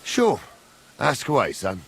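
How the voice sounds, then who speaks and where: An actor playing a gruff, fatherly middle-aged captain of the guard, guarded and plain-spoken, with a husky voice, in a medieval game. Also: medium pitch, huge pitch swings, takes it slowly, a bit bright, heavily acted. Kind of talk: video game dialogue acting.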